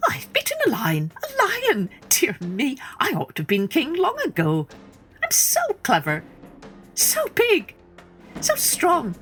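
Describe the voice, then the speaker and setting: A woman's voice: high-pitched, wildly swooping pitch, slow, fairly bright tone, soft background music, pitched above the performer's own voice, exaggerated voice. Woman putting on a swooping, boastful little gnat. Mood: gloating. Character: tiny boastful male gnat, cocky and self-admiring. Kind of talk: storybook character voice.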